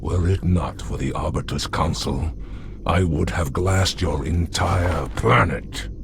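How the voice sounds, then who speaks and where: An actor playing a stern, proud alien warrior shipmaster in a game cutscene, imperious and gravelly, speaking formally with menace. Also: male voice, low voice, takes it slowly, background noise, exaggerated voice. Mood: stern contempt. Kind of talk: dramatic video game cutscene acting.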